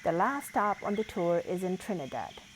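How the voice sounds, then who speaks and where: A woman's voice: on the low side, sing-song, normal speed, slight background noise, poor audio. A woman reading a children's picture book aloud as a storyteller.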